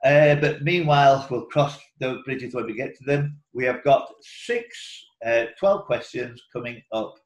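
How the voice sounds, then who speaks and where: A man's voice: mid-range voice, a little slow, few pauses. A man hosting a live quiz, reading out questions in an indoor room on an online group call. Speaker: quiz host and question reader. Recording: roomy, echoing online call audio.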